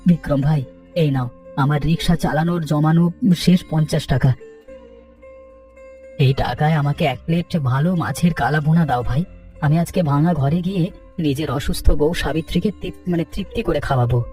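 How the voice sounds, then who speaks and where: A man's voice: fairly high pitch, normal speed, darkish tone, close to an everyday voice. A man voicing a humble, earnest and grateful poor rickshaw puller in a cartoon. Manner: earnest, deferential. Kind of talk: dubbed cartoon dialogue. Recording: distant-sounding, music underneath.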